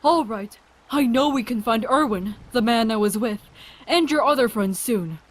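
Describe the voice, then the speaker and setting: A woman's voice: on the high side, moderate pace, close to an everyday voice. A woman playing a friendly, curious doctor in an audio drama, chatty and reassuring. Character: friendly, curious adult doctor and virus researcher.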